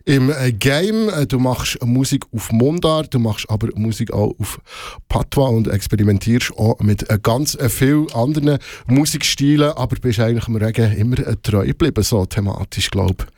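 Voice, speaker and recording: A man hosts a relaxed radio show from a studio. He talks at a mid pitch, stops often on little fillers, and drops in English phrases along the way. His voice sounds close and dry, with no room sound around it. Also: moderate pace, dry recording. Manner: hesitant, many fillers, mixes English phrases. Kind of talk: casual radio interview.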